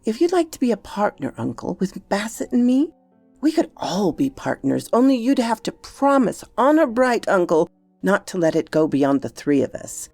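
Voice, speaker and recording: A woman's voice: mid-range voice, sing-song, normal speed, vocal fry, darkish tone, no room sound, soft background music. Storybook boy, played by a woman: young, solemn, polite, insistent, secretive, in a dry close recording. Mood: earnest. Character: earnest, secretive young boy, gravely confident about betting.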